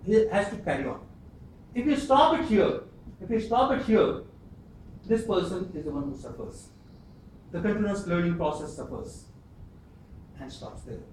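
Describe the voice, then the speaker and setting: A man giving an earnest, emphatic prepared speech at a speaking club, pausing often. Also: high-pitched. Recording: echoey room, distant mic, faint hiss.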